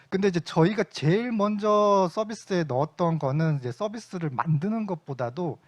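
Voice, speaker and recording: A middle-aged man giving an invited lecture at a conference, relaxed and conversational, with frequent fillers. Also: on the high side. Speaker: invited speaker. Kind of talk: conference talk. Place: lecture venue.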